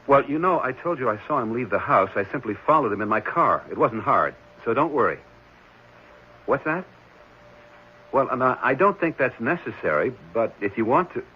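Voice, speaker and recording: A man's voice: mid-range voice, animated, normal speed, natural voice. A man playing a concerned, thoughtful and somewhat puzzled ordinary man in a 1970s radio drama, speaking conversationally. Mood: concerned, obliging. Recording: dull, slightly noisy 1970s radio recording.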